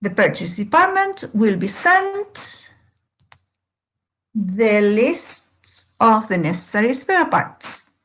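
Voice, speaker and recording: Slow-paced woman teaching grammar. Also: a little high, very animated, fairly bright tone. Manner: didactic, asking and answering own questions. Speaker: English teacher. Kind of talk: step-by-step grammar lesson.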